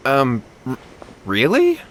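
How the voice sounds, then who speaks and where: A man's voice: mid-range voice, huge pitch swings, takes it slowly, background noise. A man playing an anxious, earnest young swordsman in an anime game, deferential and beseeching. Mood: flustered, deferential. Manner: deferential, beseeching, stammering when flustered. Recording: music behind the voice.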